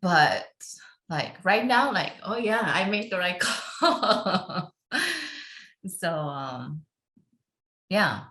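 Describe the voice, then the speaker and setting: A woman from Korea, a film composer, talking through her story in an unhurried podcast interview, with fillers and frequent laughs. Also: on the low side, expressive, sharp tone, echoey room. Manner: unhurried, chatty, laughs often, many fillers.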